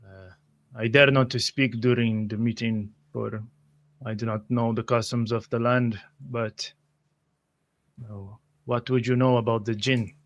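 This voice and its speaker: Slow-speaking man, measured and formal. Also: mid-range voice, steady tone, highs cut off. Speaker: tabletop game player. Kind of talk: improvised in-character roleplay.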